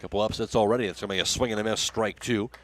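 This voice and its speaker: A man's voice: lowish voice, fairly even intonation, rapid-fire, fairly bright tone, dry recording. American man calling baseball play-by-play, dry sound. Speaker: radio play-by-play baseball announcer. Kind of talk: live sports commentary.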